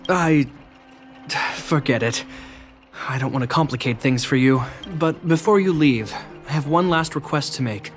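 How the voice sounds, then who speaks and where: A man's voice: on the high side, moderate pace, faint music behind, lightly acted. A man playing a dutiful younger-brother guard captain in a video game, speaking in a formal, reserved and sincere way. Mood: awkward, sincere.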